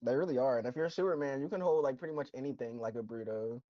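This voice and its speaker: A man riffs on a show in loose, slangy chatter, often breaking into laughter. His voice sits mellow and dark. The recording is dry and somewhat muffled. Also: medium pitch, rapid-fire, no echo. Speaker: co-host of a reaction channel. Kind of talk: casual reaction chat.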